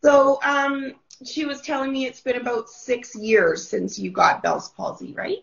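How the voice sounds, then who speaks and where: Chatty woman host with a gravelly edge. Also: high-pitched, a little slow, a bit bright, muffled recording. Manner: chatty, upbeat, lots of fillers. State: voice not fully woken up. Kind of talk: casual video call interview.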